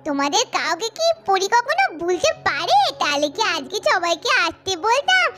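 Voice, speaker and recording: A woman's voice: extremely high pitch, sing-song, normal speed, loud music behind the voice. A woman voicing a cheerful little girl in a doll cartoon, chattering and welcoming. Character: cheerful little girl Pari, the house favourite.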